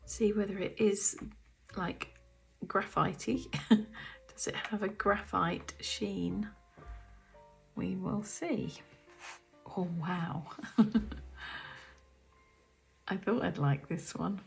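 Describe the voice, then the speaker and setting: A woman with a croaky throat from the cold, casually talking through her paints as she swatches them, often half-whispering and musing aloud. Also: medium pitch. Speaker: artist demonstrating paints. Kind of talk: casual craft tutorial chat. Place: home art studio.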